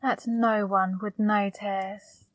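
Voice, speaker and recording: A woman playing a flirty, teasing female demon in an intimate ASMR roleplay, speaking softly and breathily, coaxing and gently scolding up close. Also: medium pitch, flat delivery, takes it slowly, no echo, lightly acted. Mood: gently chiding. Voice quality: soft, breathy, partly whispered. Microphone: close microphone, dry.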